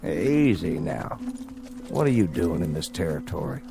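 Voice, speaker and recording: An actor playing a mocking, menacing outlaw in an old Western drama, cool and laconic, with an American Western drawl. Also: male voice, a bass voice, sing-song, a little slow, gravelly, heavily acted. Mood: cool, taunting. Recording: vintage TV drama audio under music.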